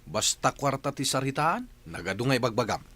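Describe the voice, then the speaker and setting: An actor with a Filipino accent playing a stubborn, spendthrift young boyfriend in a radio drama, defensive and dismissive. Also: male voice, mid-range voice, big pitch swings, normal speed, bright, forceful tone, no room sound.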